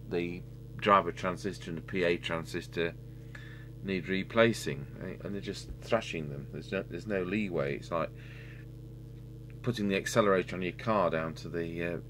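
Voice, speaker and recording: A middle-aged man giving a casual, halting repair demonstration, thinking aloud as a technician at work. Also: deep voice. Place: indoor workbench. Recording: steady hum and background noise.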